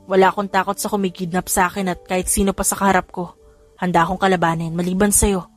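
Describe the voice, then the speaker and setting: A woman's voice: lowish voice, little pitch movement, quick pace, soft background music, lightly acted. A woman voicing an earnest, hesitant young man in love in a romance audiobook. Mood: earnest confessing. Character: earnest lovestruck young man, tough but timid.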